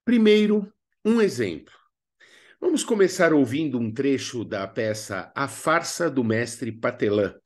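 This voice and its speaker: Expressive Brazilian man with animated pitch. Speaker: drama workshop teacher. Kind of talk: scripted instructional narration.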